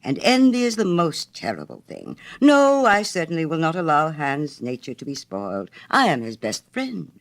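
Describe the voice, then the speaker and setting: A man's voice: on the high side, huge pitch swings, normal speed, few pauses, a bit bright, no room sound, voice pushed up, heavily acted. A man voicing a rich, self-righteous miller in a storybook, with a sanctimonious, self-satisfied manner.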